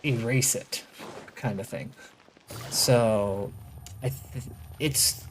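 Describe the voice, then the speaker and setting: A video game streamer giving casual live commentary, rambling and thinking out loud, with opinionated, sarcastic asides. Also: mid-range voice, extremely slow, slight background noise. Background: game music.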